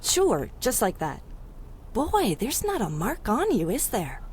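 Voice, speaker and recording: A woman's voice: on the high side, huge pitch swings, slow, a little mellow, a little hiss or hum, full character voice. A woman playing a plucky, streetwise city dweller with wry, colloquial speech in a 1930s-style radio drama. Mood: concerned, wry. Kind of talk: 1930s radio adventure serial acting.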